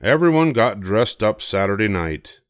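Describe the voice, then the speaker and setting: A man's voice: lowish voice, very animated, normal speed, dry recording, studio quality. An older man narrating a children's picture book expressively. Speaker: storybook reader.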